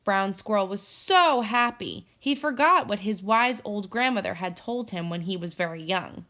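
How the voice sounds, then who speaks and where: A woman with a medium-pitched voice reads a storybook aloud for children. She is expressive and speaks as a teacher does to her pupils. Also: normal speed.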